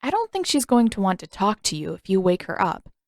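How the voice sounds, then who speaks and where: A woman plays a young teenage boy in an adventure story, gentle and reasonable. He speaks mildly and a little hesitantly, in a dry-sounding recording. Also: mid-range voice, big pitch swings, fairly quick, no echo. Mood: gently reasoning.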